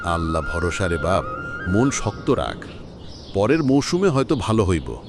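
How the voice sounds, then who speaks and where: A man's voice: low-pitched, big pitch swings, a little slow, full character voice. A man playing a kindly old village uncle in a cartoon, rustic, sighing and reassuring, with music behind him. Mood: resigned, consoling.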